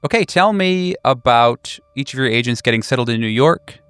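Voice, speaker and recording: A man's voice: medium pitch, animated, moderate pace, a bit bright, clean tone, no echo, soft background music. A man hosting a tabletop role-playing podcast as game master, introducing the show and prompting players.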